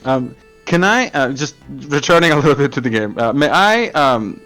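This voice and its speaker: A man's voice: medium pitch, expressive, moderate pace, a bit bright, faint music behind. Chatty laughing man playing a tabletop game. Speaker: player. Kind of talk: casual tabletop game chat.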